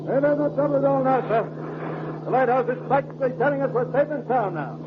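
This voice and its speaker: Very high-voiced, hearty ship's pilot. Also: male voice, fast talker. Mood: hearty, watchful. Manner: hearty sailor talk with "aye, sir". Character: hearty, dutiful male ship's pilot, old salt. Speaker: actor in a radio drama. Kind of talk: old-time radio drama acting. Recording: muffled, noisy old radio recording.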